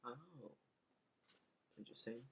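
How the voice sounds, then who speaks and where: A woman's voice: a little slow, slight background noise. A woman bantering casually off the cuff, with a dryly teasing, questioning manner.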